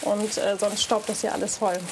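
A woman in her forties who co-runs a country estate, showing renovated rooms in a relaxed, cheerful way. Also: medium pitch, moderate pace, very noisy. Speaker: estate co-owner. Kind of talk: casual tour of rooms being renovated.

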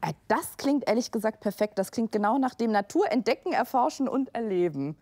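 Casting: woman for reality TV, casual talker with highly animated intonation and a slightly high voice. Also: a little fast. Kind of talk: casual chat and to-camera remarks in a reality show. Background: music.

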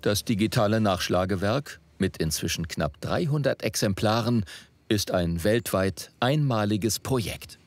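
Male narrator, lowish register, scripted documentary read over faint music. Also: expressive, unhurried. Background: faint background music.

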